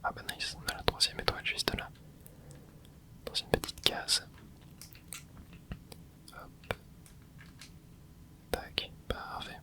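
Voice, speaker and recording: A man's voice: takes it slowly, pauses often. A man whispering casual commentary as he plays a video game for an ASMR channel, dropping small interjections and explaining the game to his viewers. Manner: soft whispering with little interjections while playing. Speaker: ASMR gaming channel host.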